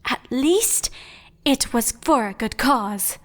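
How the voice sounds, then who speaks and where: A woman voicing a gentle, caring night princess pony with formal, old-fashioned phrasing in a storybook reading. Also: fairly high pitch, lively intonation, slow pace, long reverb tail. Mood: polite.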